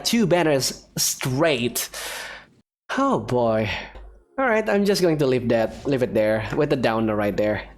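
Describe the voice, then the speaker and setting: A video game streamer giving casual, chatty commentary to viewers, rambling and thinking aloud. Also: medium pitch. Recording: game music behind the voice. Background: video game music.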